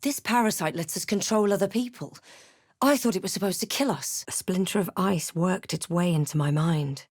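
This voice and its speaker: A wary, snarky female adventurer from a fantasy game played by a woman, her voice on the low side. She delivers lines with measured, dry drama, recorded close and dry. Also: a little mellow, no room sound, close to an everyday voice. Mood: uneasy. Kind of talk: video game character lines and narration.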